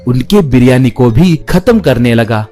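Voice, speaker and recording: A man's voice: medium pitch, lively intonation, measured pace, dark tone. Male cartoon story narrator over music.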